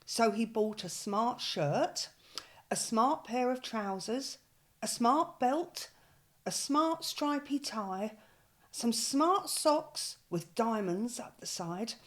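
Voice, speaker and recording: Older woman, English accent, storyteller, slow, expressive, slightly high. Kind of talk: reading a picture book aloud.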